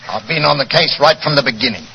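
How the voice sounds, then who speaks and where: A man's voice: medium pitch, lively intonation, fast talker, vocal fry, pushed and bright. A man playing a desperate, deferential English police inspector in an earnest 1940s radio drama style. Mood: earnest reporting. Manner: earnest, pleading. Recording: dull, noisy old radio recording.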